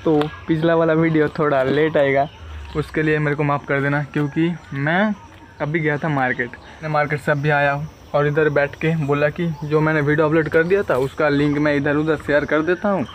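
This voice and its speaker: A man's voice: on the high side, little pitch movement, fairly quick. A young man chats casually and ramblingly in a selfie vlog, recorded outdoors on a phone. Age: young adult. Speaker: vlogger. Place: outdoors in a rural village. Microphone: phone at arm's length. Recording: noisy outdoor phone recording, insects, vehicles.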